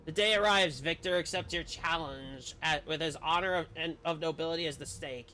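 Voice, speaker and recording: A man's voice: fairly high pitch, brisk, sharp tone, slight background noise. A man streaming a game, reading the story text aloud with casual commentary and the odd stumble over words.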